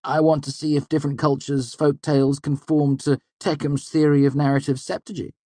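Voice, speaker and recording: A man's voice: fairly high pitch, level tone, fairly quick, dark tone, no echo, pitched above the performer's own voice, close to an everyday voice. A man voicing an eager, earnest young male student in a storybook, polite and a little hedging.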